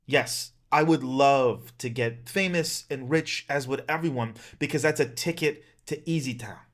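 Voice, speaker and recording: Male vlogger, 30s, medium pitch. Earnest, emphatic rallying monologue, plain-spoken and impassioned. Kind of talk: earnest monologue to camera, personal rallying speech.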